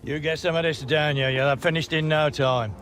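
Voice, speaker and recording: An older man playing a caring, cautious grandfather who speaks in gentle warnings in a video game. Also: a little high, steady tone, brisk, noisy background, close to an everyday voice. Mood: genial. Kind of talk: video game cutscene acting. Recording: music behind the voice.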